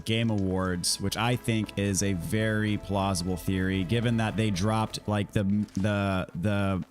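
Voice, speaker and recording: Man, American accent, lowish pitch, mildly raspy, scratchy throat, casual livestream chat, friendly game streamer. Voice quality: slightly raspy. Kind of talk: casual livestream chat answering viewers while gaming.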